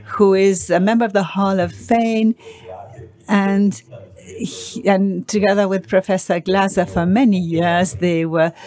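A woman giving measured live interpretation of a conference speech. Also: mid-range voice, steady tone, darkish tone. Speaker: simultaneous interpreter. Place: conference hall.

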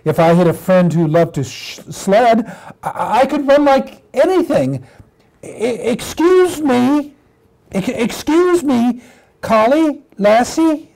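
Storybook puppy played by a woman: small, high-pitched, earnest and plaintive, halting childlike delivery. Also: animated, gravelly, dark tone, full character voice, rough recording. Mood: eager, polite. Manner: halting, stammering, childlike pleading. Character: eager, plaintive little puppy boy longing for a friend.

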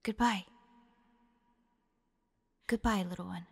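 Audio drama: woman as tender spirit of a protective older sister, soft creaky voice in long ghostly reverb. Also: mid-range voice, fairly even intonation, takes it slowly, heavily acted. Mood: loving farewell. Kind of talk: audio roleplay acting.

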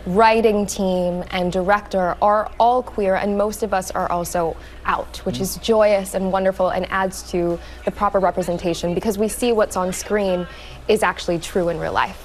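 A young woman from Hawaii, an actress guest on a TV show, talking enthusiastically and quickly. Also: medium pitch, a little hiss or hum. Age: young adult. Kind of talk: studio interview. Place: TV studio.

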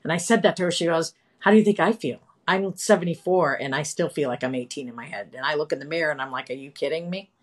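A woman in her fifties hosting a casual live video chat from home, chatty and animated, talking into a phone camera. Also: low-pitched, fast talker, few pauses. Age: 50s. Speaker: host. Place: home room with colorful wall. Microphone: phone camera, video call.

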